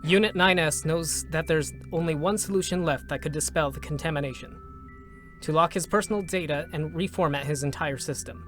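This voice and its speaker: A man narrating a scripted drama in a measured, solemn manner, with short, deliberate phrases. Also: fairly high pitch, fairly bright tone. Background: music.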